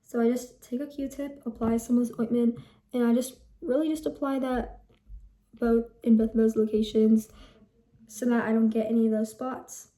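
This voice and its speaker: A woman's voice: a little high, little pitch movement, normal speed, warm tone, echoey room. A young woman in her teens giving a casual skincare routine vlog, chatty and rambling, often correcting herself. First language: Telugu heritage speaker, English first language. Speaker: vlogger.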